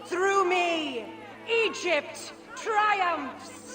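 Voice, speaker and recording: A woman's voice: very high voice, animated, extremely slow, music in the background, a crowd in the background, exaggerated voice. An actress playing an imperious, ruthless Egyptian queen in a video game cutscene, regal and declamatory. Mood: grandly proclaiming. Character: imperious ruthless young Egyptian queen, proclaimed living goddess.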